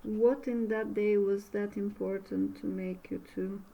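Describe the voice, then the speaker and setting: A woman from Bulgaria with a Bulgarian accent, a native Bulgarian speaker, teaching an art method in a casual demo and often pausing to search for words in English. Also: medium pitch, steady tone, creaky, dull audio. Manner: slow, halting, searching for words. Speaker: art instructor.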